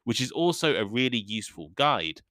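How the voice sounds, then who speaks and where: A man hosting an English-learning podcast, teaching in a careful, deliberate way with a few ums, his voice still slightly rough from a cold. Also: mid-range voice, very animated, fairly bright tone, dry recording, studio quality. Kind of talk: slow, clear podcast lesson for learners.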